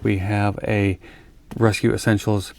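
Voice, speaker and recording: Low-voiced man walking through gear. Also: flat delivery, a little slow, gravelly, darkish tone, no room sound. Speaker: host of a gear review video channel. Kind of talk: casual product walkthrough to camera.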